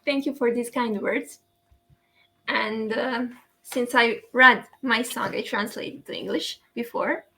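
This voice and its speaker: A woman's voice: on the high side, slow, sharp tone. A woman poet from Serbia, a native Serbian speaker, talking carefully in English.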